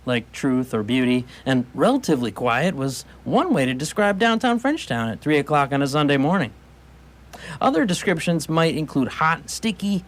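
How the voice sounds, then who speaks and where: A man narrating a scripted radio theatre story in wry, wordy, literary phrasing. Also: a little high.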